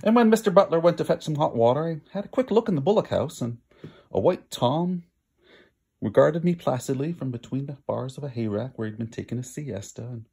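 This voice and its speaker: A man reading a storybook aloud, sometimes pausing to chat with listeners. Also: mid-range voice, gravelly, mellow, breathy edge. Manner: pauses to chat with listeners and explain words. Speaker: storybook reader. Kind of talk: animated read-aloud storytelling.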